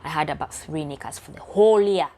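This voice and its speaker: A woman's voice: sits low, quick pace, vocal fry, mellow. A young woman from Uganda telling her own life story candidly in a personal vlog, often dropping to a near whisper. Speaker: vlogger.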